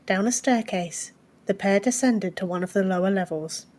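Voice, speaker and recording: A woman's voice: medium pitch, normal speed, a little mellow. A woman reading a fantasy story as a scripted audiobook narration.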